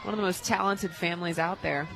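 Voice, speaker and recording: A woman's voice: fairly low pitch, steady tone, quick pace, gravelly, fairly bright tone, faint music behind, poor audio. A woman working as a color commentator in a radio sports booth, chatting informally with slangy phrasing. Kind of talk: casual sports booth chat.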